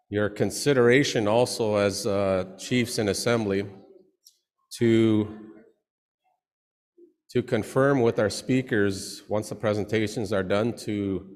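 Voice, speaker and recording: A man who is a chief, giving a formal presentation to an assembly in a loud voice with many pauses. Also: on the low side. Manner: loud, pausing often. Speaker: chief and languages portfolio holder. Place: large assembly hall. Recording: long hall reverb.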